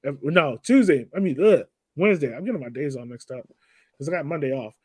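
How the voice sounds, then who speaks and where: A man's voice: on the high side, lively intonation, fairly quick, slightly rough, no room sound. A man hosting a casual sports podcast, talking in an informal, slangy way. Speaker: podcast host.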